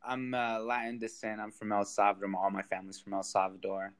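A man's voice: on the low side, completely flat delivery, fairly quick, smooth. A young man from Sacramento, a stand-up comedian, chatting casually as a podcast guest, self-deprecating and laughing often. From Sacramento, United States.

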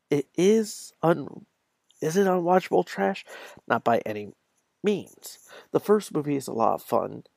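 Creaky-voiced man hosting a podcast. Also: mid-range voice, no echo. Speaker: podcast host. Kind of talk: reading an article aloud with commentary.

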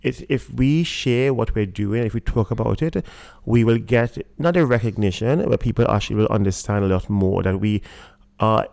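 A man from Trinidad with a Trinidadian accent, a guest talking in a relaxed interview and often pausing with fillers and restarts. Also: on the low side, creaky, no echo. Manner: hesitant, many fillers and restarts. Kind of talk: casual interview talk.

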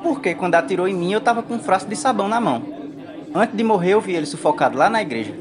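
A woman's voice: medium pitch, lively intonation, fast, close to an everyday voice. A woman playing a cheeky, wry poor trickster in a radio soap opera. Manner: wry, sassy. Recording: noisy crowd ambience behind.

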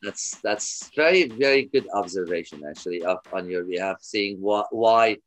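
A man's voice: fairly low pitch, normal speed. A man in his fifties, from Kuwait, telling his life story as an interview guest, earnest and often restarting his sentences. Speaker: guest, media company founder.

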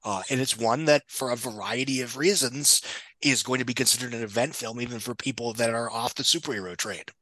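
A man's voice: mid-range voice, brisk, bright, forceful tone, dry recording. A man speaking as a guest commentator, giving casual, analytical film industry commentary.

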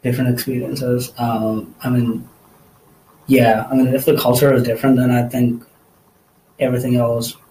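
A man's voice: medium pitch, flat delivery, moderate pace, long pauses, warm tone, poor audio. Interviewee: male college student, Nepali native speaker, hesitant and thoughtful, faint background noise. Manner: hesitant, many fillers, thoughtful. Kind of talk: casual interview answers.

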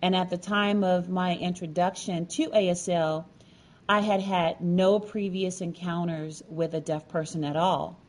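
Slightly low-voiced woman narrating personally. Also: steady tone, a little slow. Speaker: featured storyteller and sign language educator.

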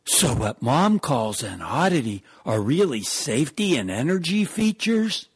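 A man's voice: mid-range voice, sing-song, unhurried, husky, full character voice. A man playing an inquisitive, doubtful young son who questions and whines in a comedy radio sketch. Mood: puzzled, protesting. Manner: questioning, whiny protest.

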